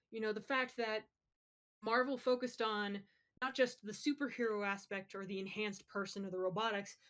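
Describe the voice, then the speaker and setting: A woman's voice: medium pitch, fairly bright tone. A woman co-hosting a podcast in casual, opinionated chat, talking emphatically and swearing freely. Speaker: podcast co-host. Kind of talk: casual chat, opinionated pop culture commentary.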